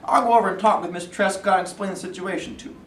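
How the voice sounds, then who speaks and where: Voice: mid-range voice, fairly even intonation, racing, lightly acted. A performer playing a governor's earnest, stubborn son in a staged play reading. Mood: persuasive. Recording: echoey room, distant, faint noise.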